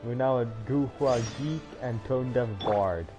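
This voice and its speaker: A man's voice: mid-range voice, unhurried. A man giving casual livestream commentary while he plays a game. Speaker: game streamer. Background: video game music.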